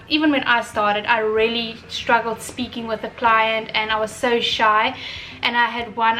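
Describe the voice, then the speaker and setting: A young woman from South Africa with an Afrikaans accent, chatting casually and reflectively on her own channel, often pausing with fillers and restarts. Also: a little high, fairly bright tone, poor audio. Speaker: vlogger. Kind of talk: casual chat.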